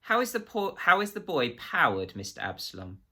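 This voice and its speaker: A coldly courteous official interrogator from a storybook, as a male reader puts him on. He asks his questions in precise, formal phrases with a light conversational surface and quiet menace beneath. The voice is crisp-edged and bright. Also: on the high side, wildly swooping pitch, normal speed, voice pushed up, exaggerated voice. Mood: probing.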